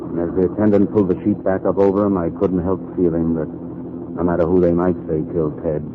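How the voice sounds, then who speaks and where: A man's voice: sits low, level tone, brisk, close to an everyday voice. A man playing a hard-boiled, brooding newspaper columnist in a terse, world-weary 1940s noir radio style. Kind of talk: 1940s noir radio acting with first-person narration. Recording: muffled, noisy 1940s radio recording.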